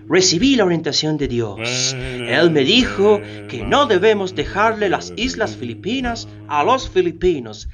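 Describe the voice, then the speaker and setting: An actor playing a pious, self-righteous American president in a solemn, sanctimonious radio drama monologue. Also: male voice, high voice. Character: pious, self-righteous male US president recounting divine guidance.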